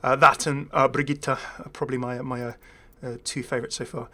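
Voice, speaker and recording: A chatty male gaming host with a medium-pitched voice talks casually off the cuff. He thinks aloud, hesitating as he goes, and slips in dry, wry asides. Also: level tone, fairly quick, pushed and bright, slight background noise. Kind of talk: casual unscripted video game commentary.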